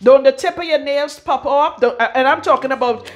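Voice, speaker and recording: A middle-aged Trinidadian woman giving a frank, forceful hair-care talk as a loctician in her salon. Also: high voice, a little fast. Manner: blunt, forceful, frank, swears freely. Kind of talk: casual hair-care tutorial.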